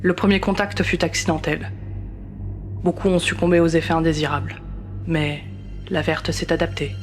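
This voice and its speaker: A man's voice: a little high, flat delivery, normal speed, soft background music. A man giving solemn scripted narration for a science-fiction audio drama, in clipped phrases.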